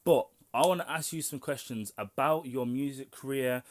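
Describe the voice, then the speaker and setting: A man's voice: mid-range voice, normal speed. A man hosting a casual interview, speaking enthusiastically with friendly slang. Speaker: interview host.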